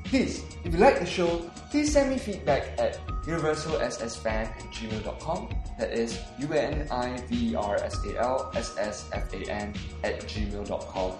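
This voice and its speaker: A man's voice: medium pitch, big pitch swings, normal speed, hardly any pauses, reverberant, music in the background. A man with a Singaporean accent, hosting a podcast and narrating a scripted audio walking tour, guiding the listener step by step. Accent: Singaporean English.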